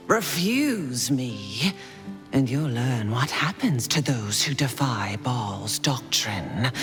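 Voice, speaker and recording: Sadistic assassin cultist, game villain, very slow savoring delivery, swooping singsong taunts, music faintly behind. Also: female voice, low-pitched, heavily acted. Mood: menacing. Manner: theatrical, taunting, singsong, savoring words, breaking into whispers. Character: sadistic female shapeshifting assassin cultist, gleefully bloodthirsty. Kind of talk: dramatic video game villain acting.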